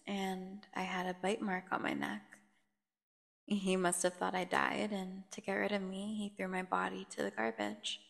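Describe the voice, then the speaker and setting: A woman playing a captive female vampire in an ASMR audio drama, seductive, taunting and menacing, telling her tale with teasing pauses and dropping at times to a near whisper. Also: mid-range voice, vocal fry. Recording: long hall-like reverb.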